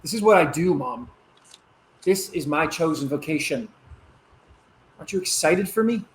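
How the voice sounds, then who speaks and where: An actor playing a proud young missile officer in a stage play, explaining earnestly with dramatic pauses. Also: male voice, a little high, lively intonation, close to an everyday voice. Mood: defensive, pleading. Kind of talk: conversational stage-play dialogue.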